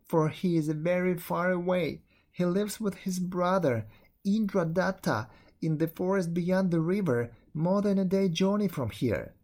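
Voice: medium pitch, measured pace, darkish tone, pitched above the performer's own voice. A reader putting on a frightened young servant girl. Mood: eager. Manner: pleading, tearful.

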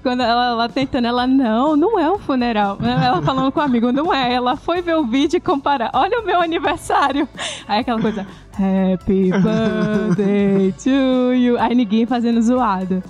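A woman from Salvador, Brazil, hosting a casual podcast with a lively, joking manner. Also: a little high, normal speed, dull audio, soft background music. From Salvador, Bahia, Brazil. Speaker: podcast host.

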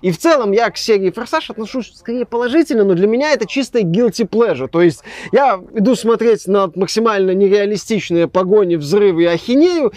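Young male reviewer, high voice, sarcastic, rambling, dry close sound. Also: animated, brisk, few pauses, a little mellow, no echo. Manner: sarcastic, rambling, trails off often. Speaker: game reviewer. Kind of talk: casual spoken game review.

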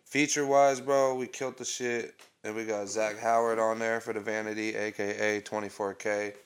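Thirties man, low-pitched, casual swearing hype talk from a home studio. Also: flat delivery, normal speed, clear, resonant tone. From Oregon. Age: 30s. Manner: loose, profane, hype talk. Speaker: rapper promoting his album. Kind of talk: casual podcast chat.

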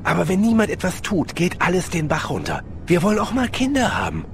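An actor playing an easygoing, devoted police sergeant in an audio drama. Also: male voice, high-pitched, wildly swooping pitch, a little fast, a little hiss or hum, heavily acted. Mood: earnest, soothing.

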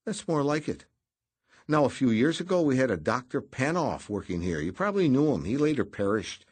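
A man's voice: mid-range voice, sing-song, brisk, breathy edge, pitched above the performer's own voice. A man voicing a jolly, plump, bald middle-aged bureaucrat who is cheerful and evasive. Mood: chatty.

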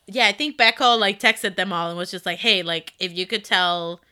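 A woman with a Dominican accent co-hosting a casual chat about K-pop, talking excitedly with lots of fillers. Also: mid-range voice, moderate pace, clean tone. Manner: excited, rambling with many fillers. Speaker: podcast co-host.

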